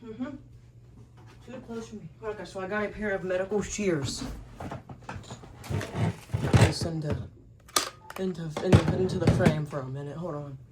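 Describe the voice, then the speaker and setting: A woman's voice: on the low side, unhurried, long pauses, mellow. A woman hosting a casual, unscripted unboxing video, muttering to herself and thinking aloud as she goes. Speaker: host of gadget unboxing video. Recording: noisy recording with clicks.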